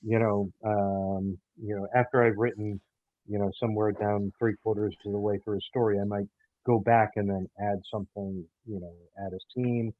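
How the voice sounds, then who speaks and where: A man answering as an interview guest, haltingly, often repeating words as he searches for phrasing. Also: lowish voice, flat delivery, no room sound. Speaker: audio drama writer, interview guest. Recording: tinny phone-like call audio.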